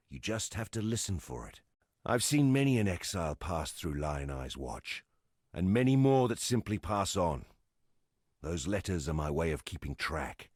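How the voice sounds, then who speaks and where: An actor playing a gruff, weary exile fighter in a fantasy video game, a plain-spoken, wry camp leader with a gravelly voice. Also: male voice, low voice, no echo, clean studio sound. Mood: grim, resolute. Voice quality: gravelly, husky. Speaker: non-player quest character.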